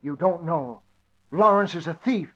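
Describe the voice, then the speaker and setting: A frail old uncle with a high, thin voice who pleads and warns. It sounds like a 1940s radio drama on a dull, muffled old recording. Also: male voice, measured pace, fairly bright tone. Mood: pleading. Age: older.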